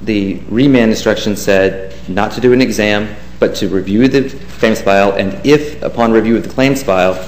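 A man's voice: fairly low pitch, moderate pace, hardly any pauses. A man giving a formal, deferential courtroom argument as an attorney. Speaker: government attorney. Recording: echoey courtroom with faint hiss.

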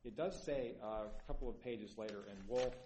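A man arguing an appeal as attorney in court, earnest and halting with many fillers, sounding tired. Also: mid-range voice, warm tone, a little hiss or hum. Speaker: appellant's attorney. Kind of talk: courtroom oral argument. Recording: echoey courtroom.

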